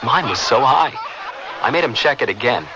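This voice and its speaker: A man's voice: mid-range voice, lively intonation, moderate pace, background noise. A young man giving a casual, conversational personal testimonial in a radio spot. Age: young adult.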